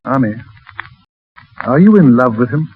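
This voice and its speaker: An actor playing a devoted, urbane, gently forbearing fiancé in a 1930s radio drama. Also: male voice, medium pitch, expressive, a little slow, breathy edge. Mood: resigned, tender. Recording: noisy, narrow, distant 1930s radio recording.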